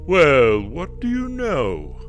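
A sardonic, gleefully macabre host of a vintage radio horror show. He drags out his words very slowly, his pitch swooping up and down, while music plays clearly behind him. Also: huge pitch swings, muffled recording, full character voice. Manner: sardonic, chuckling, drawn-out delivery. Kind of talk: old-time radio horror hosting.

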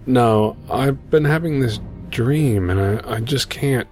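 A man playing a troubled rookie mech pilot in an audio drama, speaking in a halting, haunted way. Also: mid-range voice, darkish tone, soft background music, natural voice. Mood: haunted, uneasy. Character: troubled male rookie mech pilot hiding his fear. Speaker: amateur audio drama actor.

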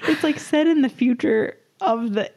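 A woman's voice: sits high, normal speed, a little mellow. A woman co-hosting a podcast in casual banter, giggly and playful, often correcting herself as she goes. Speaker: podcast co-host. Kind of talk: casual banter between co-hosts.

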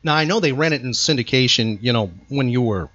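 A man's voice: mid-range voice, a little fast, fairly bright tone, no room sound. A man hosting a trivia podcast with casual, teasing, mock-incredulous banter, on a slightly dull, dry recording.

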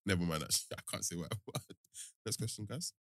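A young man, co-hosting a podcast in fast, slangy casual banter and laughing often. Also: sits low, gravelly, a bit bright, dry recording, studio quality. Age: young adult. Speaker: podcast co-host.

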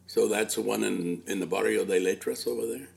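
An older man with a gravelly voice, chatting casually as an interviewer, repeating himself and dropping Spanish words into his English. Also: fairly low pitch, brisk. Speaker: interviewer, host.

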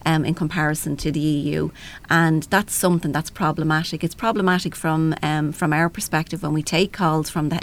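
A woman's voice: sits low, steady tone, fairly quick. An Irish woman explaining things as a helpline representative in a panel discussion, often adding "you know".

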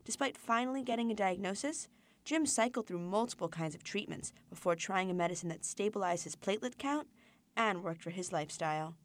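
A woman narrating a sponsored report in a calm, measured voice. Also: mid-range voice. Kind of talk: scripted ad narration.

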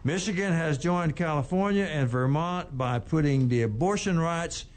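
An older man co-hosting a radio talk show, giving labor news in a plainspoken, unhurried way. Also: on the high side. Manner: plainspoken, unhurried, with frequent restarts. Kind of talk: casual labor news chat.

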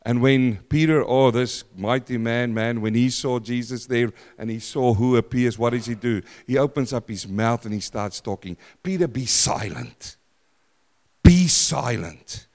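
A man preaching a sermon in a church, loud and emphatic, swinging from forceful declarations to hushed, quiet lines. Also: medium pitch, measured pace. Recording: long hall reverb.

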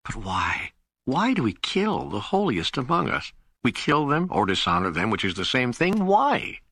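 A man's voice: mid-range voice, wildly swooping pitch, normal speed, pushed and bright, breathy edge, dry recording, full character voice. A man voicing an earnest, troubled seeker who questions God in an audiobook dialogue, speaking with emphasis. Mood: troubled, pleading.

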